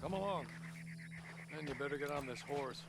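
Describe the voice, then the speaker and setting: A composed Old West agent from a western game, voiced by a male actor, courteous and formal with quiet menace. His voice is slightly high for a man and measured, set over clear music. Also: natural voice. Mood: calm, courteous. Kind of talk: western video game acting.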